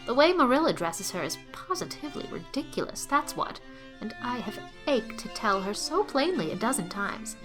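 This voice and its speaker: An actress playing a bossy, opinionated middle-aged village gossip who talks briskly and with great self-assurance. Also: female voice, on the high side, sharp tone, rough recording.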